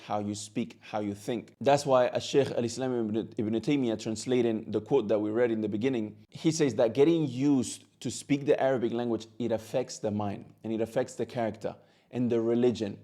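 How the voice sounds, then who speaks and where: A man's voice: medium pitch, mellow. A man whose first language is Catalan, an Arabic teacher giving an earnest, direct talk to camera, now and then repeating a word as he goes.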